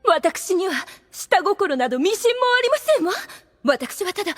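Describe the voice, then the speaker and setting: A proud demoness with a very high voice that swoops widely. She is ladylike, scheming and condescending, in full theatrical anime audio drama style. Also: very animated, moderate pace. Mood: startled, defensive. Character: proud, scheming, lovestruck demon woman, chief of guardians.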